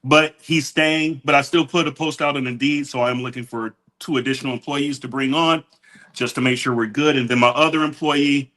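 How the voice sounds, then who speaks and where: A man's voice: medium pitch, steady tone, moderate pace, pushed and bright. A man co-hosting a podcast, talking through his week in a plain, matter-of-fact way. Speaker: podcast co-host, business owner. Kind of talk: casual chat.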